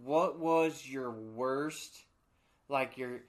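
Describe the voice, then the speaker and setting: A man's voice: mid-range voice, extremely slow. A man hosting a casual chat, asking rambling questions and laughing often.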